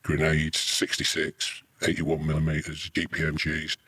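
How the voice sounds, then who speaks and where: Very deep, husky British man. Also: completely flat delivery, measured pace, sharp tone. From United Kingdom. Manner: earthy, rambling, sweary. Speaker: army veteran being interviewed. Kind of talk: casual anecdotal storytelling.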